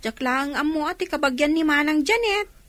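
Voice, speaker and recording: A woman's voice: sits high, lively intonation, moderate pace, a bit bright, no echo. A woman playing a young, deferential employee talking with her boss in an Ilocano radio drama.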